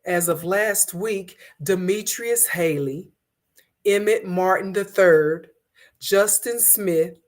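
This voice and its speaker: A woman hosting an online commentary stream, hoarse from a cold, talking in a conversational way with frequent pauses and fillers. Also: medium pitch. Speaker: host of an online commentary show. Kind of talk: casual commentary to viewers.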